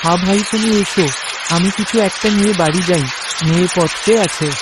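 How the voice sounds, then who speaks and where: A man's voice: high voice, brisk, over a noisy crowd, close to an everyday voice. A man playing a worried, deferential village father in a cartoon, with a plaintive, friendly manner. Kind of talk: cartoon voice acting. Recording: loud ambient rain noise behind.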